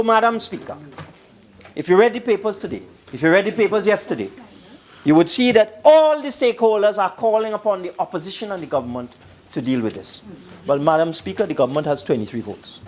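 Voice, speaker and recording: A man with a Trinidadian accent giving an emphatic parliamentary speech, repeating phrases for stress. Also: fairly high pitch. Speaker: government minister speaking in parliament.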